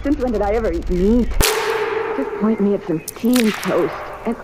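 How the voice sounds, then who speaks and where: A woman's voice: medium pitch, normal speed, close to an everyday voice. Actress doing a chirpy young woman getting better, hissy old radio play. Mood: cheerful. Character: recovering sick young woman, hungry and relieved. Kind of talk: 1970s radio drama acting. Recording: old radio broadcast with hiss.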